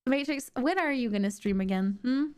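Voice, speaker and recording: A young woman streamer with a sore throat, chatting excitably and rambling with lots of fillers. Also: a little high, a little fast, smooth. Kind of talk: casual stream chat.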